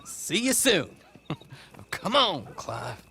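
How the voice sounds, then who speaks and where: A shifty Western game outlaw, with a high voice that swoops up and down. He has a Southern American drawl and rambles in a folksy, theatrical, giggly way, over faint background noise. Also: very animated, extremely slow, gravelly, sharp tone, heavily acted. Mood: pleased, businesslike. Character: shifty, chatty, cackling frontier horse thief. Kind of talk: video game western cutscene acting.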